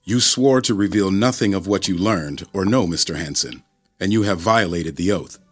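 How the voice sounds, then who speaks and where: A cold government agent with a low, rough-edged voice. He is formal and measured, quietly menacing, in a radio drama with faint music behind. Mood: cold menace.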